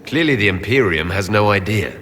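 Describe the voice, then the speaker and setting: An actor playing a stern, curt and dismissive fantasy leader in a video game. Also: male voice, lowish voice, animated, fairly quick, a bit bright, big-room sound, slight background noise.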